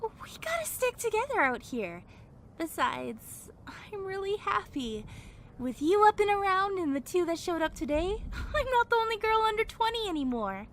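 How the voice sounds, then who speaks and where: A woman plays a chatty teenage girl survivor with a very high, swooping voice. She is excitable, teasing and friendly, in an animated audio drama style. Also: normal speed, a little hiss or hum, full character voice. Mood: cheerful.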